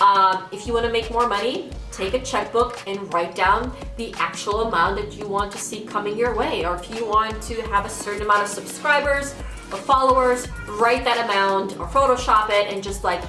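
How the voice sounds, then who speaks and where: Fast-talking young woman vlogger, roomy echo. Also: mid-range voice, sharp tone. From Afghan American. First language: Dari. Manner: upbeat, mixes English with Dari, frequent "uh" and "like". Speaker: lifestyle and beauty vlogger. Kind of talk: casual vlog talk to camera. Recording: echoey room from a distance with faint music.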